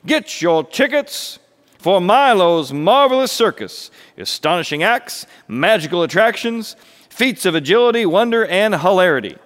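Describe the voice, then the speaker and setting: In a reverberant hall, a young circus ringmaster, played by a man storyteller projects loudly and high. He is bombastic and demanding, a theatrical storybook perfectionist who proclaims and barks. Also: very animated, normal speed. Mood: grand, showy. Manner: theatrical, booming proclamations and barked orders. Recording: echoey hall with long reverb.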